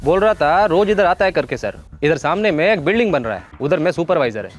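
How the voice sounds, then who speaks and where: An actor playing an eager, deferential junior constable who keeps saying sir. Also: male voice, fairly high pitch, animated, fast, muffled recording, a little hiss or hum, natural voice. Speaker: police constable.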